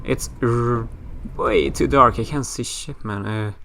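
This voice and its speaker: A man's voice: medium pitch, slow pace, gravelly, bright, forceful tone, a little hiss or hum. Swedish-accented man narrating a horror game. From Sweden. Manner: rambling, self-mocking, swears casually. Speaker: gaming YouTuber. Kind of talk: casual horror game let's play commentary.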